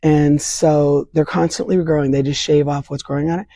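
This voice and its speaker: An older hoarse woman, leader of a vaccine advocacy group, earnestly explaining health topics in an interview from home with simple analogies. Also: deep voice, level tone, brisk, mellow, no echo, clean studio sound. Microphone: remote interview from home.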